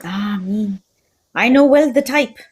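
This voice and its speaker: A woman playing a shrewd, polite Belgian male detective with a put-on Belgian French accent, formal and deliberate, in an old-time radio mystery style. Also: on the high side, a little mellow, slightly rough. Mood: measured.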